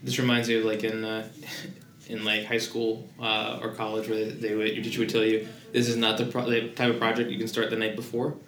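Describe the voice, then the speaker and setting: A man's voice: fairly low pitch, completely flat delivery, fairly quick, fairly bright tone, roomy sound. A man co-hosting a casual podcast, talking hesitantly with many fillers.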